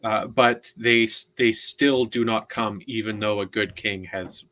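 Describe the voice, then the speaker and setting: A man co-hosting a casual literary discussion, explaining things in a halting, deliberate way and often repeating words. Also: on the low side, fairly even intonation, sharp tone. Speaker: co-host, literary commentator.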